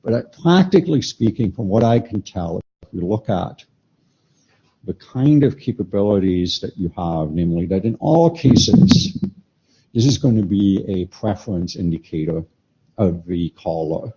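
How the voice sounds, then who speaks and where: Low-voiced man talking shop, lo-fi. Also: normal speed, slightly rough. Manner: animated, informal, thinking aloud with fillers. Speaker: meeting participant. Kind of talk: technical discussion at a standards meeting. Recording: dull lo-fi conference room audio.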